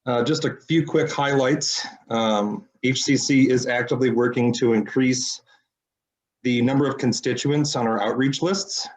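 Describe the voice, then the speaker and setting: A man with a deep voice giving a brisk citizens council report at a city meeting. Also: fairly even intonation.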